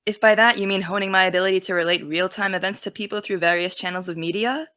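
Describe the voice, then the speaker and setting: A young actress playing an earnest high school girl who wants to be a journalist, defensive and dry. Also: fairly even intonation, quick pace, bright, forceful tone, no room sound, natural voice. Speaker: student podcast host. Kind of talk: audio drama.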